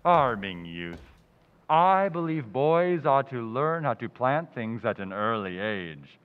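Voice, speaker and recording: An actor playing a pompous, smug middle-aged undertaker in a 1940s-style radio comedy, drawn-out and punning. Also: male voice, medium pitch, very animated, clean tone. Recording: tinny, reverberant lo-fi audio.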